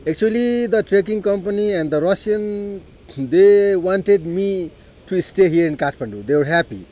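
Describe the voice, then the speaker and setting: A middle-aged man with a Nepali accent, telling his life story in an unhurried way, with frequent "you know" and throat clearing. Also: high voice, animated, dark tone. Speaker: interviewee. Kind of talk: personal life story told in conversation.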